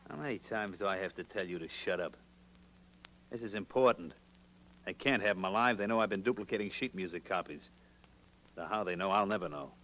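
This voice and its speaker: An actor playing a ruthless, short-tempered gangster in a curt, hard-boiled 1940s radio style. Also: male voice, low voice, a little fast, pauses often, fairly bright tone. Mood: irritated, cold. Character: ruthless, short-tempered male gangster running a counterfeit racket. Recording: noisy old radio recording.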